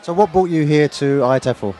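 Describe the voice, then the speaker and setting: A man's voice: fairly high pitch, fast, mellow. Polite man interviewing at a conference. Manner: polite, encouraging questions. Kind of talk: conference vox-pop interview. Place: busy conference venue.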